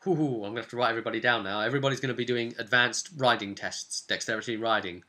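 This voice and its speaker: A man casually recounting a role-playing session as game master, telling it in an animated storyteller's way. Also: mid-range voice, rapid-fire.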